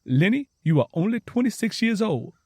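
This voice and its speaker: An eager young Southern woman played by a male narrator in an audio story. Her pitch sits high and swings widely as she speaks in an earnest, folksy drawl, recorded close and dry. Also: moderate pace, dry recording, full character voice. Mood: excited, warm. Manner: excited, earnest, folksy dialect.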